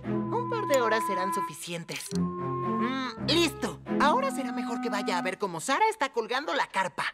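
A woman's voice: on the high side, huge pitch swings, moderate pace. A woman voicing a bossy, cheeky young boy in a cartoon dub, with music playing behind. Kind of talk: cartoon dubbing.

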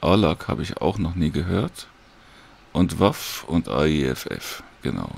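A man casually and hesitantly talks viewers through a screen recording in an informal, unhurried tutorial. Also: rumbling low, very animated, vocal fry, slightly rough. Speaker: audiobook creator giving a download tutorial.

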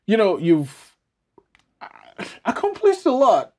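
A man's voice: high-pitched, huge pitch swings, slow. A man in his thirties or forties chatting informally as a podcast host, often repeating phrases for emphasis. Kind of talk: casual interview chat. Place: small studio, seated indoors.

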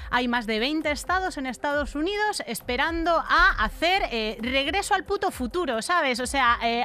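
A woman's voice: on the high side, sharp tone. A woman of about forty with a Castilian accent from Spain, co-hosting a podcast and explaining news in a blunt, colloquial way into a close studio mic. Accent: Castilian Spanish from Spain. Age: around 40. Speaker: podcast co-host. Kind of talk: explanatory news commentary, conversational. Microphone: close broadcast mic on an arm.

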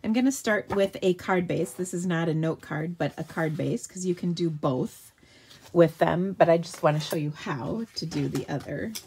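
Host, female: upbeat, chatty craft demonstrator, lowish voice, faint background hiss. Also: normal speed, darkish tone. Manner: chatty and upbeat, answering viewer questions while working. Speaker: card-making demonstrator. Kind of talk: live craft tutorial. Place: home craft table.

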